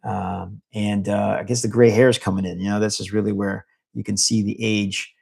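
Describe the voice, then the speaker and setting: Gravelly-voiced man chatting in a ramble. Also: lowish voice, little pitch movement, normal speed, darkish tone. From United States. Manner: rambling, with many fillers and restarts. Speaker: vlogger answering a live chat. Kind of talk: casual chat.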